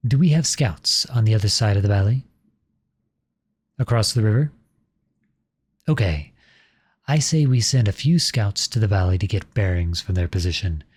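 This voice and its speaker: Guy acting as an exiled prince turned soldier, deepish husky voice, brooding n formal, audio drama rp. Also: animated. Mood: serious, strategic. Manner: formal, measured, courteous. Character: outlawed young prince serving as lowly soldier, earnest, brooding. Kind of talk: scripted roleplay audio drama monologue.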